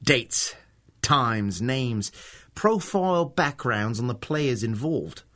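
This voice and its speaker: A man playing a cocky, sly rogue with a wry, deliberate delivery in a pulp radio drama. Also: mid-range voice, expressive, a bit bright. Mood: wry, measured. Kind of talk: 1930s pulp radio acting.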